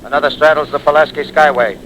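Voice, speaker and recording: An actor playing a tense military bomber pilot, making terse telegraphic reports in a 1930s radio drama. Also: male voice, a little high, steady tone, fast talker, a bit bright, muffled recording, lightly acted. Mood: controlled, tense. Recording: noisy old broadcast, engine drone.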